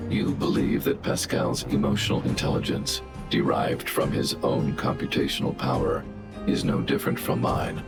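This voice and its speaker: An extremely low, gravelly robot guardian speaks in measured, formal sentences. The huge machine is stoic and coldly logical yet protective, a video game character with clear music underneath. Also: male voice, exaggerated voice. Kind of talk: scripted video game dialogue.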